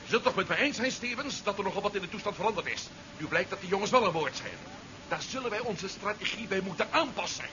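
An actor playing a reasoning, argumentative adviser on a space mission in a 1970s science-fiction radio play. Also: male voice, sits high, lively intonation, fast talker, background noise. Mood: persuasive. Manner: argumentative, explanatory. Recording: dull 1970s radio recording.

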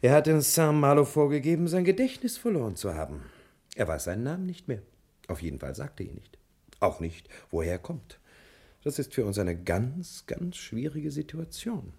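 An actor playing a courteous, self-assured, scheming private detective in a 1960s German radio crime play, with a husky voice. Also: male voice, medium pitch, very animated, moderate pace, darkish tone.

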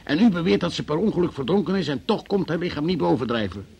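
An actor in a radio drama playing a stern, sardonic, relentless police interrogator who questions formally and presses hard. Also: male voice, on the high side, animated, fast talker, gravelly, a little mellow, husky, full character voice. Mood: sceptical, pressing.

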